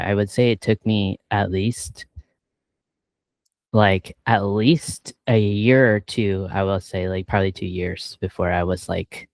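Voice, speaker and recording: A man with a low, flat, smooth voice hosts a casual interview. He talks in a relaxed way and fills his sentences with likes. The recording is close and dry. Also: no echo. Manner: relaxed, filler-heavy, many likes. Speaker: interview host.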